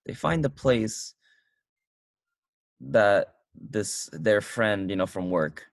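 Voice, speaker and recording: Deep-voiced man in casual film chat. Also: animated, creaky, no room sound. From United States. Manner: halting, many restarts and fillers. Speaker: co-host reviewing a film. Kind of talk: casual movie review chat. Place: car.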